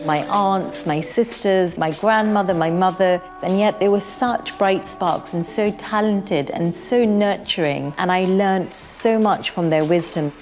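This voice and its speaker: A woman's voice: mid-range voice, measured pace, hardly any pauses, darkish tone. A middle-aged woman from Kenya, a restaurant owner, answering interview questions in a thoughtful, heartfelt way. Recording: light music bed behind.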